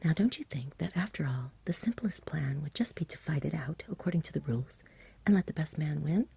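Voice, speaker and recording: A woman voicing a frank, patient, confident male knight in a breathy whisper, courteous and persuasive. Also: deep voice, expressive, brisk, mellow, heavily acted. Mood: frank, pleasant.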